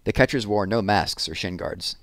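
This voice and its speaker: A man with a fairly low voice reads a children's story aloud. He narrates as a storybook reader, recorded dry with very little room sound. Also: moderate pace, fairly bright tone, dry recording.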